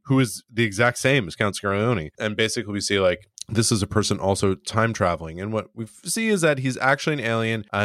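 A man's voice: on the low side, fairly bright tone, dry recording, clean studio sound. Chatty man recapping with chuckles. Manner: rambling, chuckling, filler-heavy. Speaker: podcast host. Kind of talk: casual episode recap.

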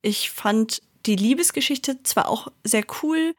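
A woman's voice: fairly high pitch, no room sound, studio quality. A young adult woman talking casually about books she loves and reading their blurbs aloud. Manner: chatty and enthusiastic, reads blurbs aloud more slowly. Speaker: book reviewer. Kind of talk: casual book recommendations to viewers.

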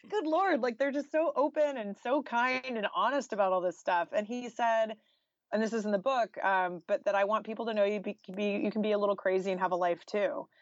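A woman's voice: medium pitch, no room sound, lo-fi sound. An American woman talking informally and candidly as a guest author in a podcast interview, peppering her speech with "like" and "you know.".

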